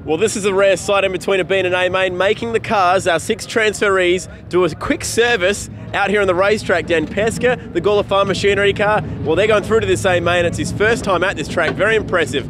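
Young guy doing trackside interviews, talks fast, engines going behind him. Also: high-pitched, fairly bright tone. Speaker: pit reporter interviewing drivers. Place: outdoor speedway pit area at night. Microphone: handheld microphone. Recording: clear engine noise, outdoor trackside.

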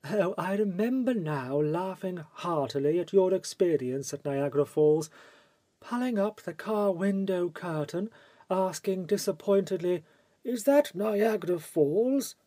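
A man's voice: high voice, animated, measured pace, warm tone, dry recording, pitched above the performer's own voice. A man voicing a vivacious, gushing society actress in a theatrical manner, reading from an audiobook. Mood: amused.

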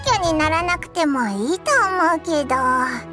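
A woman's voice: sits high, expressive, normal speed. A woman voicing a small, cheeky, boastful childlike fairy companion in an anime game, talking bluntly and boyishly. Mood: flustered. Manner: cocky, blunt, boyish speech. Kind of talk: Japanese anime game voice acting. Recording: music behind the voice.

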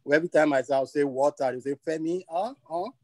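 A Nigerian man with a Nigerian accent, a webinar panelist sharing friendly, lively advice over a video call. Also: a little high, fast, no echo, clean studio sound.

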